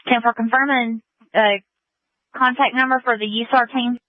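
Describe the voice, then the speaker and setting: A woman's voice: on the high side, steady tone, moderate pace, no echo. A woman working as an emergency dispatcher, clipped and procedural over a two-way radio. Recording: narrowband phone-like dispatch radio.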